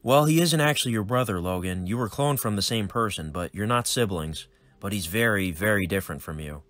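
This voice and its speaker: A man voicing a calm, measured older male mentor. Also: lowish voice.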